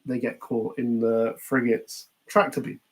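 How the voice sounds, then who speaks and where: Man, British accent, podcast co-host, casual chatty recap, mid-pitched voice, quotes dialogue in passing. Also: level tone, a little slow. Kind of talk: casual chat recapping a TV series.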